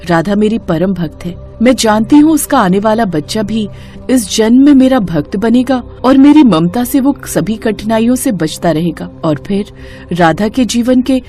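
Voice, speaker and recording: A serene, all-knowing goddess in a devotional story, played by a woman. She speaks solemnly and with measure at a middling pitch, over music. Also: mellow, natural voice.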